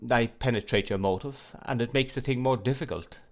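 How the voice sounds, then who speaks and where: A man's voice: medium pitch, brisk, natural voice. A man voicing a shrewd, grave middle-aged lawyer who questions in a probing, emphatic way.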